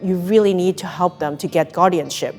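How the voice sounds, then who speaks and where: A woman's voice: on the low side, a little fast, darkish tone. Woman from Hong Kong, music behind her. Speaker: volunteer attorney. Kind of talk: testimonial interview.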